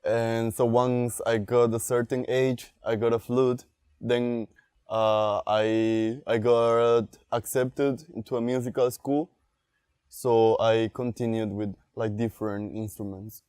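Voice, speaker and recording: Male, smooth and dry-sounding, unhurried interviewee speaking in short halting phrases. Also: mid-range voice, level tone, a little mellow, no echo. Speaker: musician interviewee. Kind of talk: documentary interview recollection.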